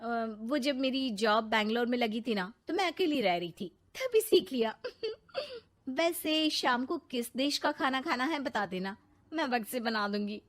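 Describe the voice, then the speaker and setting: Woman acting young cartoon bride, high voice, brash, sporty, confident. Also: animated, a little slow. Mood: cheerful, modest. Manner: brash, self-assured. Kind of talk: cartoon moral story acting.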